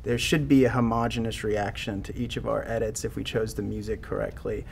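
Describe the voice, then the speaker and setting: A man in his thirties giving measured, reflective answers in a panel interview. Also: on the low side, fairly even intonation, creaky, breathy edge. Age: 30s. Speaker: interview panelist, concert producer. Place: indoor studio set.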